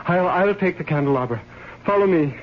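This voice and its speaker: An actor playing a desperate, guilt-ridden gentleman in an old radio drama, with agitated stammering and formal, theatrical diction. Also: on the high side, expressive, brisk, close to an everyday voice. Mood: anxious, insistent. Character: desperate, guilt-ridden gentleman confessing murder, pleading and imperious. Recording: muffled, noisy old radio recording.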